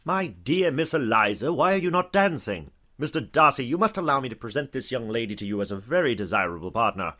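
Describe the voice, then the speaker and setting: An actor playing a genial, talkative older knight in a dramatized audiobook, speaking in an effusive, courtly way. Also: male voice, mid-range voice, sing-song, fairly quick, few pauses, bright, forceful tone. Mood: gallant, coaxing.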